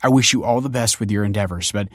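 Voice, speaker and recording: A man's voice: on the low side, fast talker, no room sound, studio quality, close to an everyday voice. A man playing a guarded woman director.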